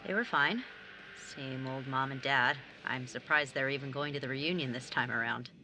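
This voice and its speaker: Woman acting very low-voiced, sarcastic teenage sibling. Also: normal speed, bright, forceful tone, slight background noise. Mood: casual, guarded. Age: young adult. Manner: sardonic, blunt, casual. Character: overworked, sarcastic nineteen-year-old younger sibling, student mage. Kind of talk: audio drama acting, naturalistic.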